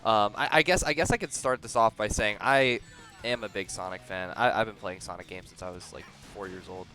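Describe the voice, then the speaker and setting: A young man giving a casual movie review close to the microphone, halting and often repeating words as he starts over. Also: lowish voice, pushed and bright, soft background music. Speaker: video reviewer. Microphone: very close to the mouth.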